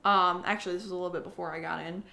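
A woman's voice: fairly low pitch, steady tone, rapid-fire, creaky, bright, forceful tone, slight background noise. A chatty woman in her twenties telling stories casually, with frequent giggles. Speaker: special guest on a podcast Q&A. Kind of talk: casual chat and storytelling.

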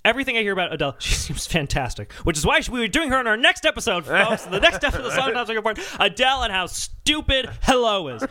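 A man hosting a podcast in casual, comedic discussion with animated, exasperated emphasis. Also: high voice, fast, hardly any pauses, a crowd in the background. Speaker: podcast host.

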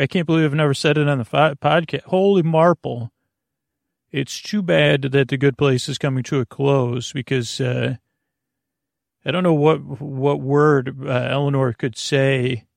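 Creaky-voiced man rambling through a podcast intro. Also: mid-range voice, no echo, clean studio sound. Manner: halting, tangent-prone, many fillers and restarts; playful, muses on words. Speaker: sleep podcast host.

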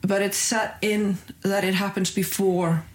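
A woman's voice: medium pitch, little pitch movement, unhurried. A young adult woman from northern Iceland, a comedian, chatting casually backstage in a green room, laid-back and swearing freely. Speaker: comedian guest.

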